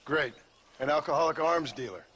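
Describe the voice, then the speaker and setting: An actor playing a stern, sardonic gunslinger in a western game, dry in manner. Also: male voice, on the high side, expressive, normal speed, sharp tone, slightly rough, exaggerated voice. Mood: impatient, wry. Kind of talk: animated western game acting.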